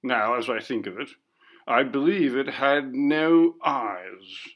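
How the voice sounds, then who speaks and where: A man reading a ghost story, voicing a bold, blustering, pompous gentleman with emphatic, old-fashioned speech. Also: medium pitch, animated, takes it slowly, highs cut off. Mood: moody, uneasy.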